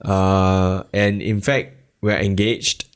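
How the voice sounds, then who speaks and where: A young Singaporean man hosting a casual podcast, low-key and self-mocking, with lots of fillers. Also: little pitch movement, slow pace, clean tone. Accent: Singaporean English. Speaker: podcast host. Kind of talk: casual chat.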